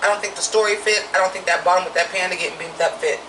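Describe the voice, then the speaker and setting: A woman with a naturally deep voice giving a blunt, profane, opinionated drag review in a casual vlog. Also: steady tone, very fast, echoey room, distant mic, noisy background.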